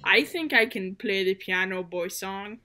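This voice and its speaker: A woman's voice: mid-range voice, unhurried, bright, forceful tone, soft background music. A woman voicing a fussy, matter-of-fact, slightly puzzled sock puppet in an absurdist comedy show.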